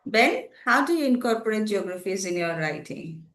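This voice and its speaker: A woman's voice: mid-range voice, sing-song, moderate pace, reverberant. A woman moderating a writers' panel, agreeing with a speaker and introducing the next one. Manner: warm agreement, then formal introductions.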